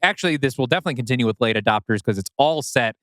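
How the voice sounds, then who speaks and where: A man's voice: mid-range voice, animated, rapid-fire, a bit bright, no echo. A man chatting informally as a podcast co-host, talking through creative work. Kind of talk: casual chat about scriptwriting.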